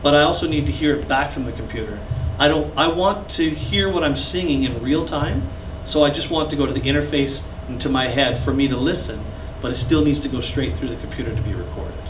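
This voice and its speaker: A man's voice: mid-range voice, fairly quick, few pauses. Guy in his 30s teaching a class, chatty and joking, sounds far off in a roomy space with hum behind. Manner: chatty, joking with the audience, gesturing while explaining. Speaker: recording clinic instructor. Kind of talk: live classroom workshop. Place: small classroom with seated audience. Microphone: camera at the back of the room. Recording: echoey room heard from far off, noisy.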